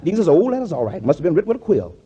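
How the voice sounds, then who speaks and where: A man playing an excitable, nosy chatterbox who gushes and repeats his phrases, in a 1940s radio comedy. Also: a little high, rapid-fire. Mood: amused. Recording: muffled 1940s radio recording with audience.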